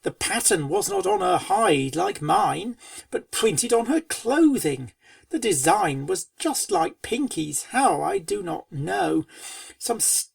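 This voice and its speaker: A woman reading scripted storybook narration in rhyming verse, with a theatrical, measured cadence. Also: fairly low pitch, gravelly, no echo, distant mic. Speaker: fan fiction reader and narrator.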